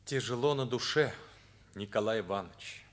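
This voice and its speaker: A man's voice: on the low side, normal speed, close to an everyday voice. An actor playing a troubled, sincere ninth-grade schoolboy in a radio drama, earnest, searching and hesitant in his delivery. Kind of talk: Soviet radio drama acting.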